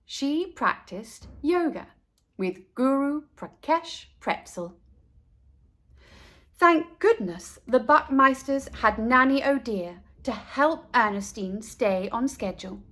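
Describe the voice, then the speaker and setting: A woman reading a picture book aloud to young listeners as a storyteller, deliberate and emphatic, speaking to them directly. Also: sits high, a bit bright. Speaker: read-aloud storyteller for children. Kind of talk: expressive picture book narration.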